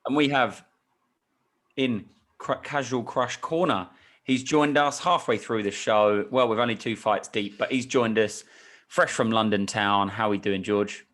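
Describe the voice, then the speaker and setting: A man's voice: on the low side, unhurried, a bit bright. A man hosting a sports podcast discussion, introducing topics with playful hype. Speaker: podcast host.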